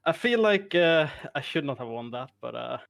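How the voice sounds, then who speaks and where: Swedish-accented man, easygoing guest, gravelly tone, dry close sound. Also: on the high side, animated, normal speed, a bit bright, no echo. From Sweden. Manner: laughs often, modest and easygoing. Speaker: interviewed tournament winner. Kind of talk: casual interview.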